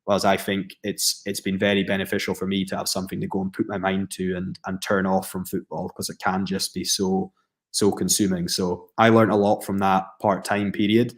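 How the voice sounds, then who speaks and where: Deep flat-voiced Scottish man. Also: a little fast. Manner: reflective, steady, with frequent fillers and restarts. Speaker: professional footballer guest. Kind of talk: podcast interview answers.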